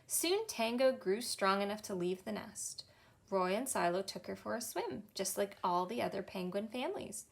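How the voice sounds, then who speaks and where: A woman in her thirties with a Canadian accent, a children's librarian reading a picture book aloud in a cheerful, expressive storytime manner. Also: mid-range voice, normal speed, gravelly. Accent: Canadian English. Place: small indoor library room.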